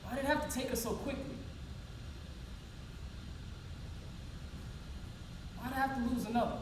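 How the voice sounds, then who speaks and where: An actress plays a grieving woman delivering an emphatic, colloquial one-act monologue with raw feeling. Her voice sits fairly high. It is caught from a distance, with clear background noise. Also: steady tone, very fast, close to an everyday voice. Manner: emotional, colloquial, emphatic, pleading. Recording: roomy echo, distant mic, loud noise.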